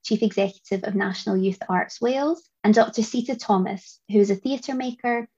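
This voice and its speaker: A woman's voice: mid-range voice, fairly quick, a little mellow, husky. Upbeat woman podcast host, dull sound. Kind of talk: scripted podcast introduction and interviewing. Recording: dull remote home recording.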